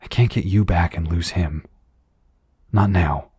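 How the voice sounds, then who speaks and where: A grim team leader acted by a man carries his burdens in a weary, earnest delivery. His voice is deep and rough-edged, recorded dry, in the understated dialogue of a superhero audio drama. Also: measured pace, dark tone, no room sound, exaggerated voice. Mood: resolute worry.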